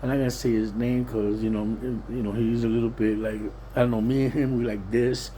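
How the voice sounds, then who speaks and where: A man's voice: medium pitch, little pitch movement, brisk, warm tone, slight background noise, poor audio. A plain-spoken, streetwise Puerto Rican man giving a casual, rambling, repetitive monologue. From Puerto Rican, living in Pennsylvania, USA. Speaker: vlogger.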